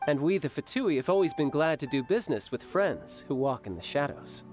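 Young sly male charmer in a game; self-assured, lively mid-high male pitch swings, music behind. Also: brisk. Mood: suave, knowing. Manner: smooth-talking, self-assured. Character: young charming male harbinger, confident, sly, breezy schemer. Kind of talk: video game character voice acting.

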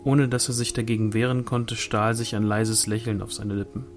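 A man's voice: fairly low pitch, little pitch movement, moderate pace, faint music behind. A man reading a literary novel aloud as scripted audiobook narration. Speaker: audiobook reader.

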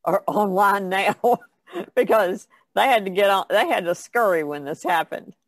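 A woman's voice: medium pitch, measured pace, dry recording, studio quality. An older woman in a casual podcast chat, with a folksy way of talking. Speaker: artist, podcast panelist.

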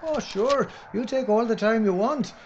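A man's voice: very high-pitched, unhurried. A man playing a nervous, sarcastic filmmaker sidekick in a horror adventure drama, dry and whiny. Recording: dull audio with loud background noise.

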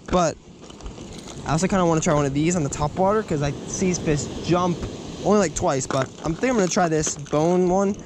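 A man fishing from a beach and talking to an action camera, chatty and self-mocking, with bursts of excitement whenever he thinks a fish is on. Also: sits high. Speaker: fishing vlogger. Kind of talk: self-deprecating casual vlog narration. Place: beach surf, outdoors. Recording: windy outdoor beach audio with surf noise. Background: wind and crashing waves.

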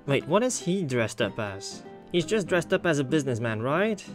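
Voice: medium pitch, very animated, moderate pace. A gameplay commentator reading a visual novel aloud, informal and rambling, repeating phrases for emphasis. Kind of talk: let's play commentary with voiced dialogue. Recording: music behind the voice.

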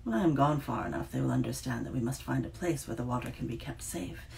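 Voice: medium pitch, animated, fast, creaky, a little hiss or hum. A reader whispering as a fading, weary kappa woman. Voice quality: hushed and whispery.

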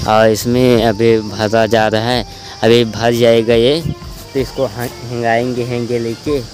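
A man's voice: medium pitch, poor audio. A young man with an Uttar Pradesh Hindi accent, chatting to his viewers as he walks through his village and farm, filming himself on a phone held at arm's length. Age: young adult. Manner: chatty, repeatedly addresses viewers as friends. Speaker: village vlogger. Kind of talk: casual vlog narration. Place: rural village, indoors and outdoors in fields. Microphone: phone camera at arm's length.

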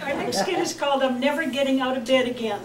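A woman's voice: sits high, fast, distant mic. A woman in her seventies introducing the troupe's next skit with a light, bubbly manner. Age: 70s. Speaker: theatre troupe presenter. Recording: echoey room, from afar, noisy.

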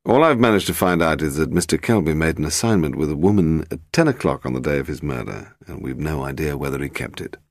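A British man voicing a polite, inquisitive gentleman detective in an audiobook. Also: extremely low pitch, lively intonation, fast talker, few pauses, no echo, clean studio sound, exaggerated voice. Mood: probing. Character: courteous, probing gentleman detective-novelist.

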